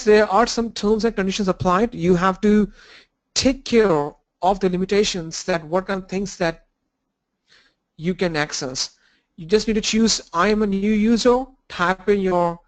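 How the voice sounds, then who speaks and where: A man with a cold and a blocked nose, teaching an online training lesson with halting fillers and restarts. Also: high voice. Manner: halting, restarts and fillers, walks through screens. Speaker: instructor.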